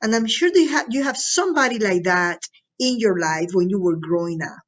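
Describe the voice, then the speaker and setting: A woman's voice: medium pitch, animated, fairly quick. A woman from Latin America teaching a recorded lesson to students in an encouraging, instructional way, often checking in with them.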